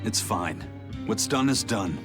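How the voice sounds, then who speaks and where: A steady, resolute pirate of a fantasy game. He talks in a low, measured voice with music behind him. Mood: stoic resolve. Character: stoic, steady male pirate first mate.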